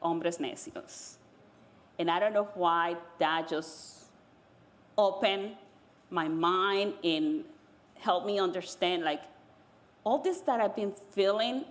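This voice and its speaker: A woman from the Dominican Republic, a native Spanish speaker, telling her own story on a panel in a measured, pausing way. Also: fairly low pitch. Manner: measured, pausing, searching for words.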